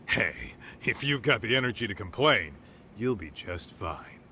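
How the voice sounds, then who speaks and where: A man's voice: on the low side, expressive, measured pace, sharp tone, a little hiss or hum, full character voice. An actor playing a brash, streetwise male detective in a game dub, teasing and blunt, with taunting slang. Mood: teasing, easygoing. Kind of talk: dubbed video game cutscene acting. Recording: music behind the voice.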